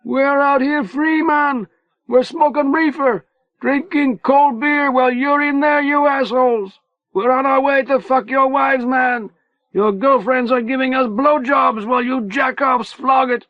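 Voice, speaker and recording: A man's voice: high voice, unhurried, no room sound, dull audio, pitched above the performer's own voice. A man voicing a wild young man who screams crude taunts over a prison wall, furious and nearly incoherent. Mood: taunting.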